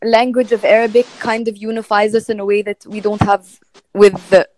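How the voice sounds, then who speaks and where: A woman from Syria speaks in a mid-range voice, weighing her words with pauses and fillers. She comes across as careful and measured. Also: mid-range voice, level tone, a little mellow, rough recording. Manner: measured, hesitant, many fillers. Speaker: discussion panelist.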